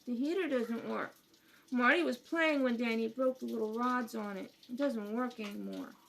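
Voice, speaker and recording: A woman acting a little girl in a radio crime play, with a high voice. She is guarded and stubborn, answering reluctantly in simple childlike protests. There is faint noise in the homemade recording. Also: moderate pace, vocal fry, a bit bright, rough recording. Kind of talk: radio drama dialogue. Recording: homemade kitchen-table recording with background noise.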